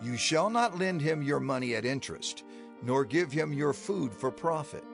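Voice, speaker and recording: A man narrating scripture from a script in a deliberate, declamatory way with clear phrasing. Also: on the high side, animated. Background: music.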